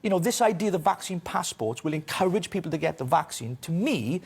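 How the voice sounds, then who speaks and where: A man's voice: fairly high pitch, lively intonation, gravelly, breathy edge. A Welsh man giving forthright, opinionated political commentary in a radio interview. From Wales. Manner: forthright, opinionated, quick delivery.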